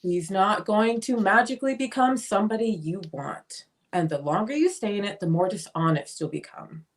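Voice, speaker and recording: A woman's voice: mid-range voice, animated, normal speed, lightly acted. Woman playing blunt free spirited sister in a stage play reading, emphatic, full of opinions. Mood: insistent, preachy. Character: blunt, free-spirited sister pushing self-liberation on her sibling.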